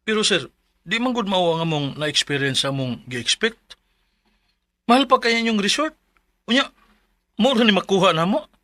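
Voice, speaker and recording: A man's voice: high voice, lively intonation, moderate pace, long pauses, bright, forceful tone, no echo. An actor with a Filipino Bisaya accent playing a mild husband and father in his thirties in a radio drama. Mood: displeased. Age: 30s. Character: Mark, mild thirty-year-old husband and father, let down. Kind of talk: Philippine radio drama acting and letter narration.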